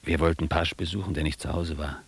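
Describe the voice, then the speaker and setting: A wry young writer telling his story in a radio play, really deep and husky. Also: steady tone, a little fast, heavily acted. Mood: storytelling, wry. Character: worldly, ironic young East German writer, reminiscing. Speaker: actor playing narrator and lead. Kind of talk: radio drama narration and dialogue.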